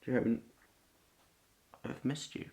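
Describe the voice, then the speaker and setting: A man's voice: warm tone, a little hiss or hum. A man playing a weary, hesitant father home from war in an amateur audio drama. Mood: tender. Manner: halting, hesitant.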